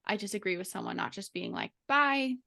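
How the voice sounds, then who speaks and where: A woman's voice: medium pitch, big pitch swings, brisk, gravelly, sharp tone. A woman co-hosting a podcast, reasoning aloud in a reflective, conversational way. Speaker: podcast co-host. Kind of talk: casual podcast chat.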